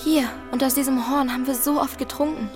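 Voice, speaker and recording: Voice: high-pitched, fairly even intonation, fast, over music, close to an everyday voice. An actor in a children's audio drama playing a questioning, slightly cautious young sibling on an adventure. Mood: excited.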